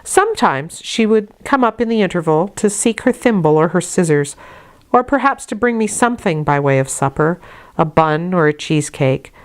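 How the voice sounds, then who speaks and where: A woman reading a classic novel aloud as an audiobook, in a measured, deliberate storytelling manner. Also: on the low side, lively intonation. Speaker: audiobook reader. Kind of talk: scripted narration of a classic novel, read aloud.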